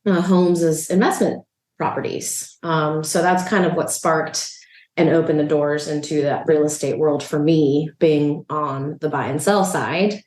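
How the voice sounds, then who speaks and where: Low-voiced Salvadoran-born woman, relaxed chatty interviewee, echoey room. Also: measured pace. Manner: relaxed, chatty, frequent "um" and "you know". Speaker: real estate agent and investor. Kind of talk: casual interview chat. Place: a rental apartment.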